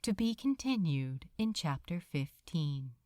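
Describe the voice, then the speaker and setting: Slow, highly expressive woman narrator. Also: very noisy. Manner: solemn, deliberate, suspenseful. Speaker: podfic narrator. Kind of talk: dramatic scripted story narration.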